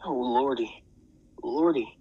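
Brief: man playing a scared old gravedigger, husky and trembling, muffled sound. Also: mid-range voice, slow pace. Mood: terrified. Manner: trembling, moaning pleas. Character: frightened old male gravedigger.

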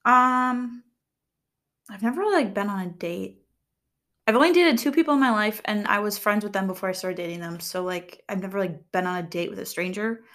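A young woman talks through a quiz in a soft, calm voice, reading each question and then rambling about her answer. Her speech is loose and casual, full of fillers, and she jokes at her own expense. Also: mid-range voice, a little fast. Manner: chatty, rambling, filler-heavy, self-deprecating humor. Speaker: vlogger.

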